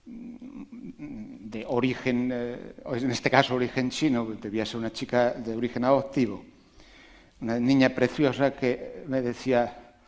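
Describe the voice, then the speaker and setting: A man giving a public talk to an audience on education, animated and emphatic, asking rhetorical questions and making conversational asides. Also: mid-range voice, unhurried. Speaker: invited speaker on education.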